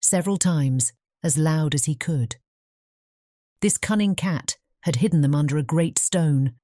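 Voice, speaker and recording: A woman's voice: sits low, measured pace, warm tone, no room sound, studio quality. A woman with a British English accent reading scripted fairy-tale narration.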